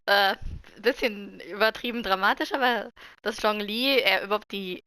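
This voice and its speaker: A woman's voice: mid-range voice, normal speed, pushed and bright, clear, resonant tone, no echo. A woman giving casual, playful reaction commentary as she streams a game. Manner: informal, playful.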